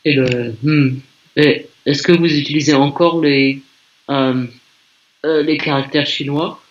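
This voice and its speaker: A man's voice: medium pitch, takes it slowly. Give me a talkative man from France on a muffled video call. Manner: relaxed, chatty, with some restarts. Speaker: conversation partner. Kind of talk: casual conversation.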